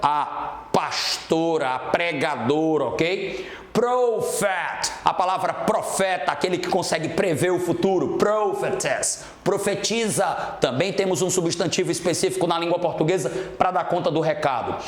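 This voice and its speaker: A man's voice: fairly high pitch, a little slow. A Brazilian man teaching a grammar lesson as an English teacher, explaining didactically and checking that students follow. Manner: didactic, checks understanding often. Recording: roomy, echoing room sound.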